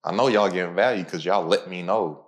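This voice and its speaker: A man hosting a podcast in an energetic, informal, motivational way. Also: fairly quick. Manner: energetic, informal, pitches his own program. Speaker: podcast host. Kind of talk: casual motivational podcast talk.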